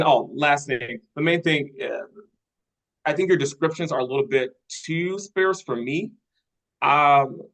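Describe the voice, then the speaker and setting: Man giving measured, constructive feedback. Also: on the high side, fairly bright tone, rough recording. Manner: constructive, measured, organized point by point. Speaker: workshop participant giving script notes. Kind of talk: spoken critique at a table read.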